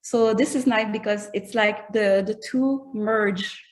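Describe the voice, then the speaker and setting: Slow-talking woman with a French accent. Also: mid-range voice. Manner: thoughtful, searching for words in a second language. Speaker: musician guest. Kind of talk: casual interview chat.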